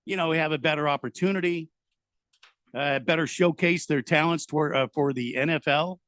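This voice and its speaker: A man's voice: fairly high pitch, moderate pace, fairly bright tone, dry recording, studio quality. A man hosting a live sports talk radio show, chatty and full of hesitant fillers and restarts.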